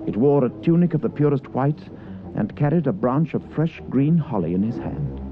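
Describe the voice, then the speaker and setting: A man's voice: medium pitch, animated, normal speed. A man narrating a scripted story dramatically in the style of an old radio broadcast. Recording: muffled vintage radio broadcast with music.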